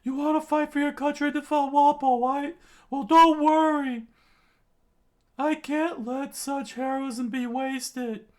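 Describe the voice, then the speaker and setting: A man voicing a frantic, stubbornly heroic young male pirate from an adventure story, in loud, earnest, strained shouts. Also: fairly even intonation, slow pace. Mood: desperate, determined. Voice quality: strained high-pitched shouting.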